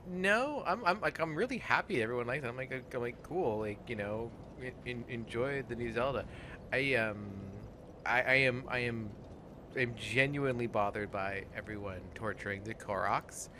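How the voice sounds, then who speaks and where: A person with a man's voice hosting a casual gaming let's play, talking in a halting way and swearing freely. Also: mid-range voice, noisy background. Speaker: host and player of a gaming show.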